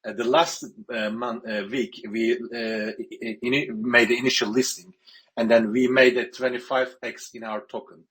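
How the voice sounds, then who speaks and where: A man from Turkey, moderating an online panel with halting speech full of filler sounds. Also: medium pitch, fairly even intonation, a bit bright. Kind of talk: online audio panel chat.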